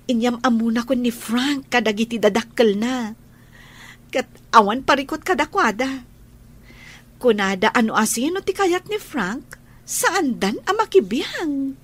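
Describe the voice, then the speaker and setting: A woman's voice: fairly high pitch, animated, unhurried, slightly rough. A woman playing an earnest young friend. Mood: pleading. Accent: Ilocano. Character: young woman pressing her friend about love. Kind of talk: radio drama acting.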